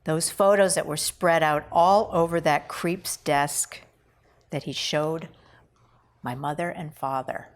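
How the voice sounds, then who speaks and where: A woman with a slightly low voice discusses a script at a table read. She is polite and a little tentative, moving between casual talk and lines she reads out. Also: fairly even intonation, slow pace.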